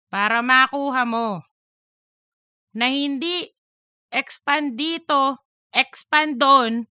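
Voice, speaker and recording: A woman plays a stern, exasperated company madam in an audiobook. Her voice is high and sharp, and she delivers each line slowly and deliberately. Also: smooth, no room sound, studio quality, exaggerated voice. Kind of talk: audiobook character dialogue.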